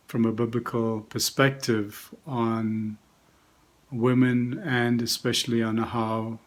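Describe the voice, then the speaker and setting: Man reading church notices. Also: mid-range voice. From South Africa.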